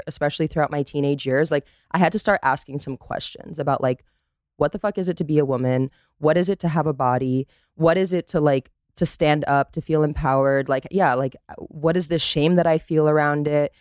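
A woman from Costa Rica speaking candidly and casually as a podcast guest, emphatic and quick to swear. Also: deep voice, fairly even intonation, darkish tone, smooth, no echo, studio quality.

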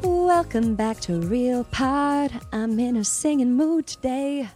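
A woman's voice: sits high, expressive, slow, dark tone, soft background music. Bubbly young woman podcast host. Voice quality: slightly raspy today. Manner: bubbly, upbeat. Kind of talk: casual chat and scripted intro.

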